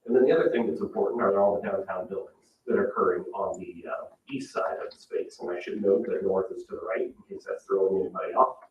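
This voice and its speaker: A man with a fairly low voice presents plans in a measured, explanatory way. The room echoes around him and he sounds distant from the microphone. His phrasing is a little hesitant, like a professional walking an audience through a project. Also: steady tone. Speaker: landscape architect presenting to a town meeting. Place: indoor public meeting room. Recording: roomy, distant mic, dull sound with noise.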